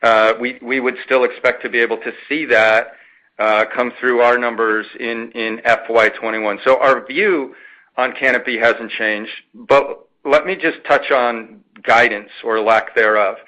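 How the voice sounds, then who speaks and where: A man, a company finance executive answering an analyst in a measured, halting way with frequent pauses and repeats. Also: medium pitch, steady tone, sharp tone, poor audio. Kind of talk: answering an analyst's question, semi-formal corporate talk.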